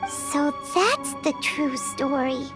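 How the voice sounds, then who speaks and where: A woman voicing a small, childlike fairy companion in a video game, squeaky, curious and sympathetic. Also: lively intonation, slow pace, music in the background. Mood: wistful. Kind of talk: animated-style video game voice acting.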